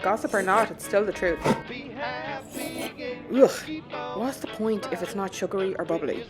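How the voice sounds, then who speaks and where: An actress playing a persistent, blunt spaceship captain, wry and direct, in an audio drama. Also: female voice, medium pitch, moderate pace, over loud music, natural voice. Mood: pressing.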